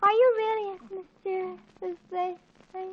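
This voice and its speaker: An excitable teenage girl with a high voice, breathlessly earnest and dramatic. She pleads her way through a 1940s radio family comedy. The old recording is dull and narrow. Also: moderate pace, a crowd in the background. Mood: flustered excitement.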